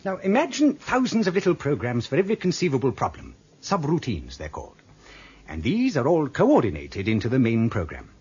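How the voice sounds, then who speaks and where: A man's voice: medium pitch, wildly swooping pitch, brisk, darkish tone, slightly rough, full character voice. A man playing a computer programmer in a radio drama, explaining things patiently and chattily. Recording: dull lo-fi audio with faint noise.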